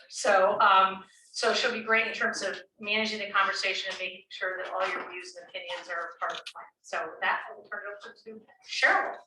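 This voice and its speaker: A woman's voice: mid-range voice, sharp tone. A woman, a town official, giving friendly opening remarks at a town meeting, with frequent ums, heard from a distance. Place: senior center meeting room. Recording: echoey room, distant mic, faint hiss.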